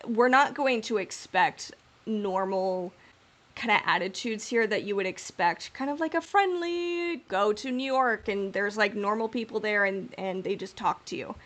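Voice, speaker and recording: A woman's voice: medium pitch, unhurried, a bit bright. A woman hosting a podcast, chatting casually and informally as she speculates in a fan discussion. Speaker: podcast host.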